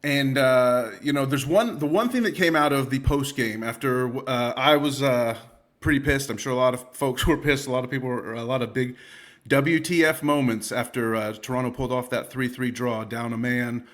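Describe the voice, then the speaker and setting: A man hosts a soccer podcast. He talks loosely and jokes a lot, with frequent pauses to think. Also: mid-range voice, fairly bright tone. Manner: casual, lots of uh fillers, jokey. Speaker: podcast host. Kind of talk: casual podcast hosting.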